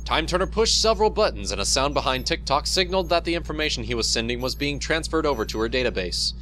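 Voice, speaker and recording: A man's voice: fairly high pitch, expressive, quick pace, pushed and bright, a little hiss or hum. A man reading scripted narration for an audio drama.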